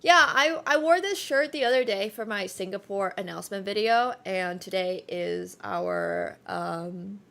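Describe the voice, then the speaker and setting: A woman's voice: mid-range voice, a little slow, vocal fry, few pauses, fairly bright tone. A young woman whose first language is Chinese, chatting casually on a livestream, rambling with lots of fillers and self-corrections. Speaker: streamer.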